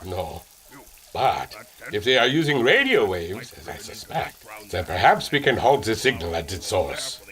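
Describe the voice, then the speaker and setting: A sturdy, precise engineer , played by a man. His voice is low with lively inflection. He chooses formal words. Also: measured pace. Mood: analytical. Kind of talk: audio drama acting.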